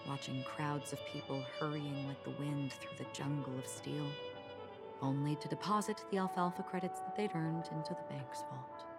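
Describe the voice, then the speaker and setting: A woman voicing a composed, melancholy traveler who recounts tales in a measured, poetic way in a video game. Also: low-pitched, fairly even intonation. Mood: solemn, reflective. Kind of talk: scripted video game dialogue, storytelling. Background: loud music.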